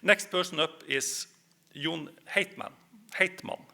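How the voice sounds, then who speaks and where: A man with a Norwegian accent giving a history presentation, speaking in measured phrases with hesitant fillers. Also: on the high side, vocal fry, bright, forceful tone. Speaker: lecturer.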